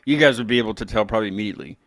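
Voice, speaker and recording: An American man hosting an interview show, chatting casually in a rambling, halting way. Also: fairly low pitch, dry recording, studio quality. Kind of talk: casual chat.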